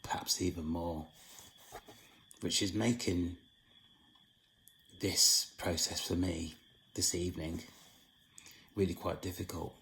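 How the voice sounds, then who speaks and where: A man with a deep, heavily gravelly voice that has gone hoarse. He talks slowly in a flat, solemn tone, with long halting pauses between phrases, like a host working through a spiritual talk. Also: rough recording. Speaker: channel host. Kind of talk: spiritual monologue.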